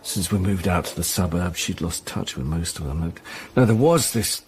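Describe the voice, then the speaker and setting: An actor playing a despairing, self-loathing jailed husband in a radio drama, speaking in a hesitant, downbeat way. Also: male voice, low-pitched, lively intonation, normal speed, darkish tone, a little hiss or hum. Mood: weary, resigned. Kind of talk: naturalistic radio drama acting.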